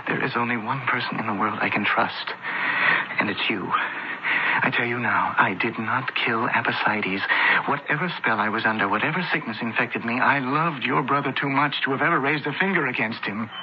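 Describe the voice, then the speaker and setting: An actor playing a bewildered accused fugitive in ancient Rome, in an earnest, dramatic radio play style. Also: male voice, mid-range voice, moderate pace, background noise, lightly acted. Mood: urgent pleading. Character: accused young Roman-era Athenian man, bewildered fugitive. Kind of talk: radio drama acting and first-person narration. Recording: dull radio recording with loud music behind.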